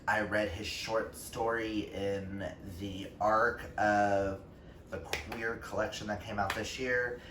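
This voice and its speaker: A man chatting casually about books as a vlogger, gushing and emphatic with dramatic pauses. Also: on the low side. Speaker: book reviewer vlogger, reading challenge co-host. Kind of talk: casual book vlog chat. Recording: roomy, distant mic, faint noise.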